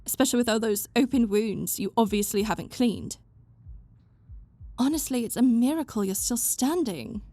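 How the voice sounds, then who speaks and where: A woman's voice: a little high, animated, normal speed, dark tone, no echo. A woman voicing a stern, bossy but caring young woman who scolds someone she cares about. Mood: scolding. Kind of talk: fan audio roleplay.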